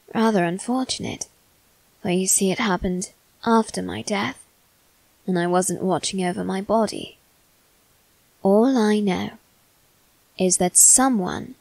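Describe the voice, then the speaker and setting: Woman voicing a condescending demon boy, soft-spoken, teasing, unhurried, dry close audio, ASMR roleplay. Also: mid-range voice, no echo, poor audio.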